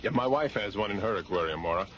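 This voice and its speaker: An actor playing a friendly, offhand professor in a science fiction radio drama. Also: male voice, fairly low pitch, animated, quick pace, fairly bright tone. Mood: genial, offhand. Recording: muffled radio drama, noisy ambience.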